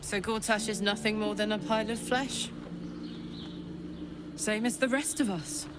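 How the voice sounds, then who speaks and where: Actress doing video game warrior woman, dying, raw and emotional, slow delivery, highish voice, music behind. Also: fairly even intonation, lightly acted. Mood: drained, bitter. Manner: raw, heartfelt, swearing. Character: dying warrior woman, passionate, brash, tender, grieving.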